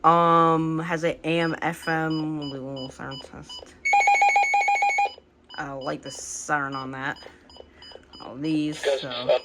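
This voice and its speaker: An American woman casually showing a hobby collection, speaking in a halting, unscripted, plain way on an amateur home recording. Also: low voice, little pitch movement, noisy background. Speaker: radio collector presenting the collection. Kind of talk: casual hobby show-and-tell narration.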